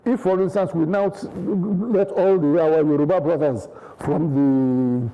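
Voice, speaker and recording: A man's voice: fairly high pitch, steady tone, unhurried, mellow, poor audio. A man with a Nigerian accent, a studio guest arguing his point in a TV panel debate, insistent and full of restarts. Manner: insistent, many restarts and hedged clauses.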